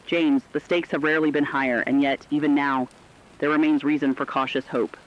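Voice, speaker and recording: A man playing an earnest radio correspondent, delivering a scripted emergency broadcast in a formal, deliberate way. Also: fairly high pitch, level tone, dull audio, a little hiss or hum, natural voice. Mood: solemn, hopeful. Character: earnest radio correspondent in a world of failing technology. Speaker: broadcast co-anchor.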